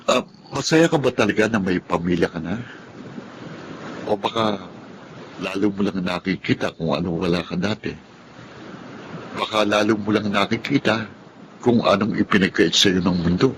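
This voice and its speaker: A man playing a gentle, earnest, protective blind older brother in a Filipino radio drama. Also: lowish voice, normal speed, pauses often, natural voice. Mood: tender, earnest. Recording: clear background ambience noise.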